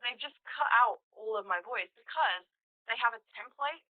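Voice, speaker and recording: A woman with a deep contralto voice, speaking as a metal vocalist in an interview, animated and emphatic. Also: moderate pace, no echo. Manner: animated, emphatic, laughs between points. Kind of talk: conversational interview. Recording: tinny phone-line audio, dry.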